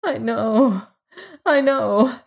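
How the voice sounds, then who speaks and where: A woman's voice: fairly low pitch, fairly even intonation, moderate pace, dull audio, lightly acted. A woman voicing a haughty, frivolous and frightened society lady in an audiobook story, with a stammering, pleading, indignant manner. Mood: whimpering, pleading.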